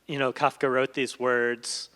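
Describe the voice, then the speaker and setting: A man's voice: mid-range voice, flat delivery, measured pace, fairly bright tone. A middle-aged man, a guest translator, speaks in a thoughtful, hesitant way in long winding sentences in an unscripted on-stage conversation. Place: large event hall stage. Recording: echoey hall with long reverb.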